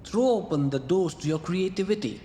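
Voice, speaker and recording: A man's voice: high-pitched, expressive, normal speed, mellow, a little hiss or hum. An actor playing a breezy male muse who talks in airy, teasing clichés in a radio drama. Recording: reverberant room with music behind.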